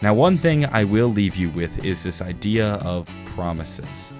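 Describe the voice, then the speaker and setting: Low-voiced male explainer over guitar music. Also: animated, measured pace, darkish tone. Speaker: video game lore YouTuber. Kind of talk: scripted explainer narration.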